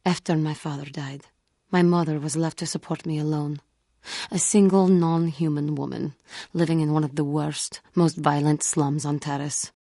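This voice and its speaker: A woman playing an earnest, wounded alien warrior in a video game, speaking formally and gravely in measured phrases. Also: low voice, fairly even intonation, warm tone, no echo, clean studio sound. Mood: grieving. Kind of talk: video game character dialogue.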